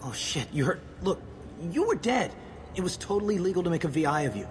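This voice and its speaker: An actor playing a nervous young petty criminal in a video game, with slangy, ungrammatical street talk. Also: male voice, high-pitched, very animated, a little fast, slight background noise. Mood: flustered.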